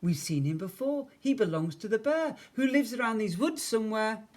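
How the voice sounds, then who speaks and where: A woman voicing a small, shy, excitable little boy in a children's storybook, with a squeaky, childlike voice. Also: measured pace. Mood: excited, alarmed. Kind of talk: children's storybook read-aloud.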